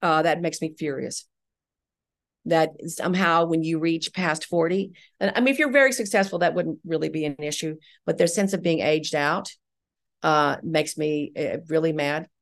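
Middle-aged female interviewee, lowish register with heavy fry, candid, emphatic delivery. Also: normal speed. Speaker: guest, audio drama writer and director. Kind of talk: reflective interview talk.

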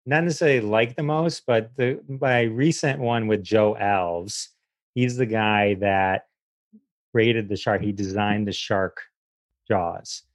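A man's voice: lowish voice, takes it slowly, dry recording. An American man chatting casually as an interview guest, a little hesitant and prone to repeating himself. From Michigan, United States. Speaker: comedian and podcaster, guest.

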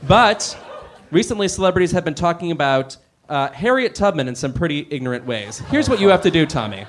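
Guy hosting a jokey quiz, voice all over the place, a bit high, faint hiss behind. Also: sing-song, a little fast. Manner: playful, self-mocking. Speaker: podcast co-host.

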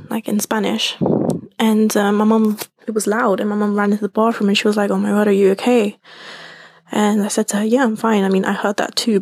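Woman with a Colombian-British accent and gravelly voice. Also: medium pitch, darkish tone, no room sound. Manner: rambling, many fillers and restarts. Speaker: listener sending in a story. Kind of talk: casual recorded storytelling.

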